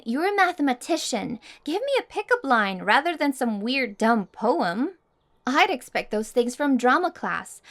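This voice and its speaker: Woman acting a haughty, high-voiced popular girl. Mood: smug teasing.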